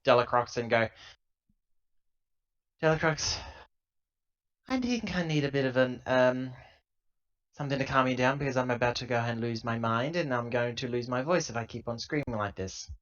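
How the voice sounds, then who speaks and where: Male tabletop player, mid-range voice, conversational role-play, muffled low-fidelity recording. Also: moderate pace, long pauses, a bit bright. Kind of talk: casual game chat, in and out of character. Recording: dull lo-fi laptop audio.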